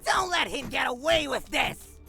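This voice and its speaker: A woman's voice: sits high, expressive, normal speed, gravelly, pushed and bright, soft background music, heavily acted. A performer, heard as a woman, playing a spoiled, arrogant young male lordling in a manga dub, pompous and indignant. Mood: furious.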